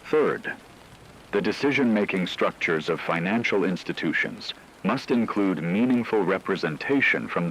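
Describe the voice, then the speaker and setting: A man playing a grave radio news broadcaster, reading a scripted bulletin in a solemn, measured and formal way. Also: sits low, fairly bright tone, a little hiss or hum, full character voice, poor audio. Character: grave male radio broadcaster reporting societal collapse.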